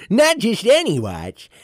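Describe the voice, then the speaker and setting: A man's voice: extremely high pitch, darkish tone, no room sound, studio quality, heavily acted. A man playing a kindly old gadget inventor in a comic audio drama, with a folksy, hesitant, chuckling old-timer delivery. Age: older.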